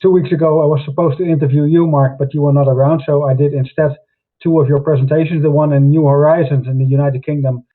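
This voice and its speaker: A man hosting a live online interview, talking haltingly with many fillers and restarts over a video call. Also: on the high side, level tone. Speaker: host. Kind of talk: hesitant live interview introduction. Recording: tinny phone-like audio.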